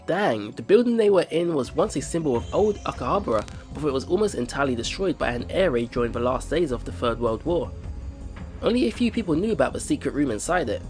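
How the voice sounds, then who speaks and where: Animated man reading a game aloud. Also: fairly high pitch, quick pace. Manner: informal, reacts with exclamations. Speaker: let's play host reading and reacting to a visual novel. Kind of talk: reading game narration aloud with casual commentary. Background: game music.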